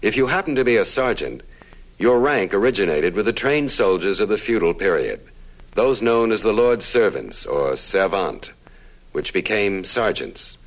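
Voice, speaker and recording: A man's voice: lowish voice, big pitch swings, moderate pace. A man reading scripted narration as a radio announcer.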